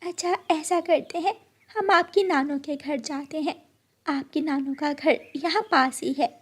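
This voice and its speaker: A woman voicing a gentle, anxious mother bird in a children's cartoon, motherly and plaintive. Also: sits high, steady tone, a little slow, voice pushed up. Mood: worried.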